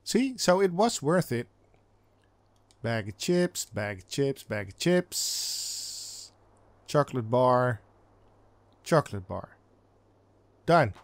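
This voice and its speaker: A man's voice: medium pitch, big pitch swings, slow pace, long pauses. A man doing casual let's play commentary, reacting and reading game dialogue aloud.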